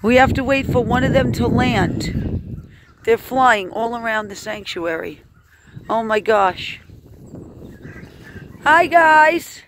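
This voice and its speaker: A woman's voice: fairly high pitch, animated, slow, long pauses, fairly bright tone, a little hiss or hum. A woman filming a casual outdoor vlog, exclaiming in amazement and repeating her phrases as she narrates to viewers. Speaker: animal sanctuary host filming a vlog. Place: outdoors at a rural animal sanctuary.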